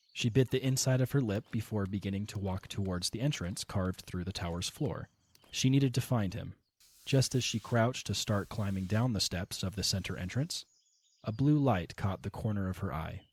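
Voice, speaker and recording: A man narrating an audio drama in a steady, measured reading style. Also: fairly low pitch, breathy edge.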